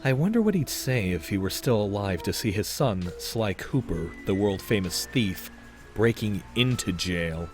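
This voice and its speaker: A man voicing suave low-voiced young master thief. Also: animated, measured pace, over loud music. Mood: wry, reflective. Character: young male master thief raccoon, suave, cocky, wistful. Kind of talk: comic dub voice acting with narration.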